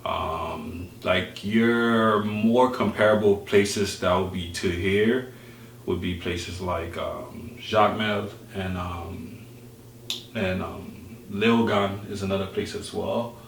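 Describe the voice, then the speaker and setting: A man's voice: low voice, fairly even intonation, slow, gravelly. A young man from Haiti speaking as a podcast guest, explaining things informally with hesitant phrasing. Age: young adult. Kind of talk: casual interview talk. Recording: echoey room, distant mic, background noise.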